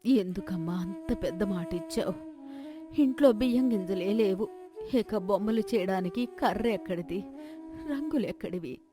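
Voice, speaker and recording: A woman's voice: medium pitch, normal speed, music in the background, lightly acted. A woman voicing an anxious, despairing widowed mother, pleading and fretful, in a folk tale. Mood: worried. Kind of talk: acted folk-tale dialogue.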